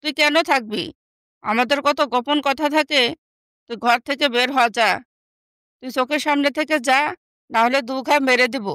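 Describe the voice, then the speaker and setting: A woman voicing a bossy, scheming young sister in an animated moral story, with a scornful, scolding manner. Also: sits high, moderate pace, pauses often, clean tone, no room sound.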